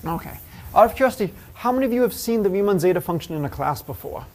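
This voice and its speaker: Guy teaching a college math class, kinda high voice, keeps asking students stuff and cracking jokes. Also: fast. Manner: lively, asks the class questions and jokes. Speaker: math professor. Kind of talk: university lecture. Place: lecture hall.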